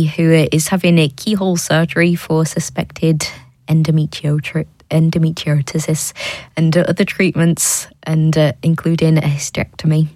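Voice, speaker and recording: Woman host reading prayer requests, very low voice, soft and breathy, dry close sound. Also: dry recording. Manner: hesitant, many fillers.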